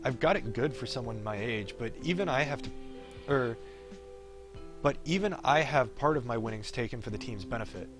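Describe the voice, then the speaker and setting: A man's voice: medium pitch, lively intonation, normal speed, close to an everyday voice. Man reading as a wry, cocky young pro gamer. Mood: friendly, wry. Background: game music.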